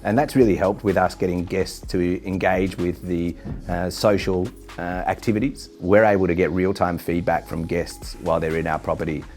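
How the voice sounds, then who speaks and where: A man giving a measured corporate testimonial in business language, like an executive being interviewed. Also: low voice, level tone, a little mellow. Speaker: hostel chain executive. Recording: background music under speech.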